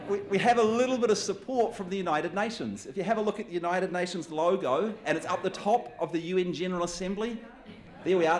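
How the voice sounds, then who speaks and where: A man's voice: high voice, very noisy. Good-humoured New Zealand man giving a talk. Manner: conversational, good-humoured, self-deprecating asides. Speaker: guest speaker. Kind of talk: public talk with slides.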